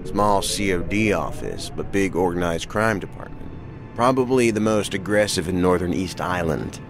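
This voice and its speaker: A veteran federal investigator in a sci-fi audio drama, with music clearly behind him. The voice is very deep, and he reasons aloud in measured, reflective, terse phrases. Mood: measured, thoughtful. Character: seasoned, thoughtful male federal investigator.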